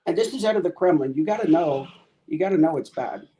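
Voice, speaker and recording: A man joining a political chat, often groping for his words and starting over. His voice is mellow and dark, pitched somewhat high for a man. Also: lively intonation, a little hiss or hum, rough recording. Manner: halting, loses words and restarts.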